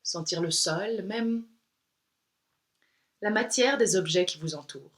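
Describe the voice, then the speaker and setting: Female yoga teacher, slightly low voice, calm and unhurried, for guided relaxation and meditation. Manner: calm, soothing, unhurried instruction with pauses. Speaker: yoga instructor.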